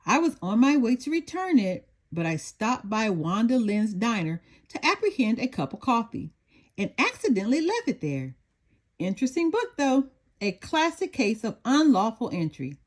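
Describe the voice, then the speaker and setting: A woman's voice: a little high, very animated, normal speed. A woman voicing a matter-of-fact policeman who talks in clipped official jargon in a children's story.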